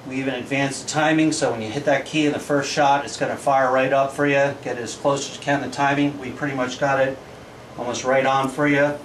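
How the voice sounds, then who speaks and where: A man's voice: mid-range voice, little pitch movement, fairly quick. A man in his forties gives an informal product walkthrough as an engine builder, plain-spoken and salesman-like, listing specs in clipped phrases. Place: small indoor engine workshop. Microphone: camera microphone a few feet away. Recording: echoey small room, distant camera microphone, background noise.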